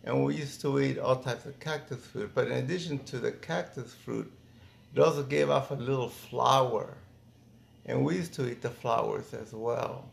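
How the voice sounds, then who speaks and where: A middle-aged man from Mexico reminiscing casually in an interview, thinking aloud in halting phrases with false starts. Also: medium pitch, vocal fry. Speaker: interviewee, former migrant worker.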